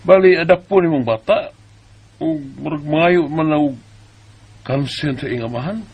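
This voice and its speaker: An actor playing an animated adviser in a radio drama, with a husky voice. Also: male voice, on the high side, moderate pace, highs cut off. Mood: surprised, cautioning.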